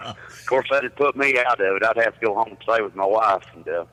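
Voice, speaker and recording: A man's voice: on the low side, brisk, sharp tone. A middle-aged man with a Southern accent, a truck driver chatting easygoingly as a guest over a phone line. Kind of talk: casual chat over phone. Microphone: phone call.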